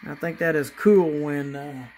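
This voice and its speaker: A man casually narrating as he runs his model trains at home, pausing often with fillers and restarts. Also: fairly high pitch, slight background noise. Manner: halting, many fillers and restarts, relaxed. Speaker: model railroad hobbyist channel host. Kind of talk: casual running commentary while operating trains. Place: apartment room with model train layout.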